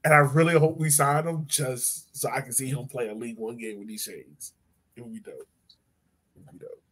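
A man's voice: medium pitch, brisk, fairly bright tone. A young man co-hosting a soccer podcast in casual, slangy fan chat over a video call. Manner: slangy, informal. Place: indoor room at home, on a video call.

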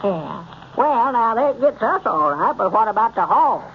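A man playing a slow, folksy rural storekeeper with a drawling country way of talking, in a 1930s radio comedy. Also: high-pitched, very animated, slight background noise, heavily acted. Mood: puzzled. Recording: muffled old radio transcription.

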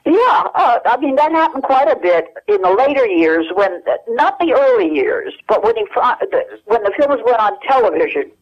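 Older lady telling old stories on the phone, voice swings all over, kinda dull lo-fi line. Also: on the high side. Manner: reminiscing, many pauses and restarts. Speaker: interview guest. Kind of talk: casual phone interview. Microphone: telephone line. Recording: muffled telephone line.

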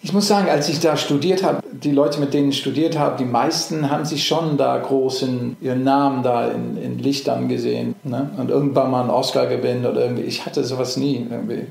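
A man's voice: medium pitch, steady tone, brisk, gravelly, few pauses, darkish tone, roomy sound, slight background noise. A middle-aged man from England, a native English speaker, chatting casually as an actor guest, with many fillers and restarts. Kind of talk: casual interview chat.

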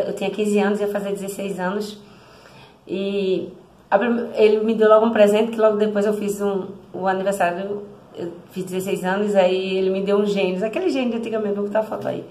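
A woman's voice: mid-range voice, fairly even intonation, fairly quick, dark tone. A woman, telling a personal story to the camera in a chatty, heartfelt way with frequent self-corrections, recorded at close range in a small room at home. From Brazil, Recife. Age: 50s. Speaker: motorcycle travel vlogger. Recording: echoey room.

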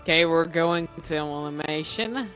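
A man's voice: fairly high pitch, normal speed, a bit bright, faint music behind. A young teenage boy chatting playfully with friends while gaming. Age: teens. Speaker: gamer. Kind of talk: casual chat while playing.

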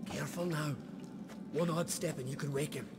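A man's voice: fairly high pitch, steady tone, normal speed, natural voice. A man playing a hearty, blunt warrior leader in a video game, with earthy, colloquial speech. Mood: wary, wry. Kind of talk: video game cutscene acting. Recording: loud music behind.

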